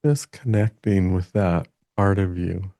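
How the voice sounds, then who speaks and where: A man leading a guided meditation in a gentle manner, speaking in halting phrases with long pauses between them. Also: deep voice, dry recording, studio quality.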